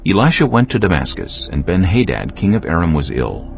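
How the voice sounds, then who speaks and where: A man's voice: low-pitched, big pitch swings, a little fast, gravelly, highs cut off. A man giving scripted narration of scripture as an audiobook narrator.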